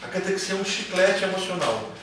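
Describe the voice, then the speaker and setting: A man's voice: sits high, expressive, a little fast. A Brazilian man teaching a class informally, repeating phrases and checking that students follow with short tag questions. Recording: echoey room, far mic, clear background noise.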